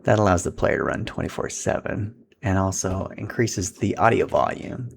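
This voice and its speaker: Guy doing a casual how-to, deep voice w some fry, bit of room noise behind him. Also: animated, normal speed. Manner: informal, instructional, talking while handling equipment. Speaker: product setup instructor. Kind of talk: casual step-by-step tutorial.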